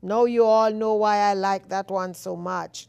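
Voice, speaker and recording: A woman with a Jamaican accent hosting a show, reading favorite quotes in a slow, deliberate way with emphatic repeats. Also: mid-range voice, steady tone, vocal fry, a little mellow, clean tone. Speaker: host.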